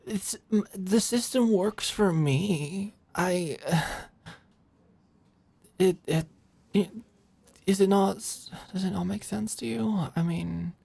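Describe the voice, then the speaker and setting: A performer of unclear sex playing a flirty, overworked office manager in an intimate ASMR roleplay, with a halting, teasing murmur. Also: medium pitch, creaky, warm tone, husky, dry recording, heavily acted. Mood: flustered, defensive.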